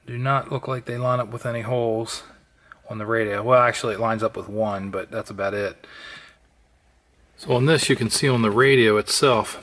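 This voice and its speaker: A man's voice: medium pitch, fairly bright tone. A middle-aged man narrating a casual how-to vlog, thinking aloud in a tentative way. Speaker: vlogger. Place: car interior and indoor workbench. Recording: noisy recording with clicking.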